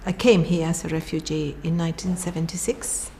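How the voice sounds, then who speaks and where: Low, creaky older Chilean woman. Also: fairly even intonation, brisk, warm tone. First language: Spanish. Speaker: documentary interviewee, refugee. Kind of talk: personal testimony.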